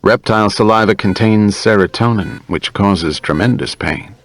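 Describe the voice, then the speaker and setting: A man's voice: on the low side, moderate pace, close to an everyday voice. A man voicing an affable American field biologist in an audiobook, easygoing yet precise and assured.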